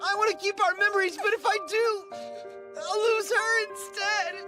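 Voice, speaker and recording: A woman's voice: extremely high pitch, fairly even intonation, moderate pace, over music. A woman playing an earnest, emotional young kid fighter in an anime dub, with a plaintive, impassioned delivery. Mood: tearful, desperate.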